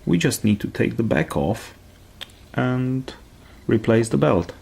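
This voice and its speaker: A man giving a careful, step-by-step hands-on repair tutorial in halting phrases. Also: mid-range voice, animated, creaky, a little mellow, breathy edge.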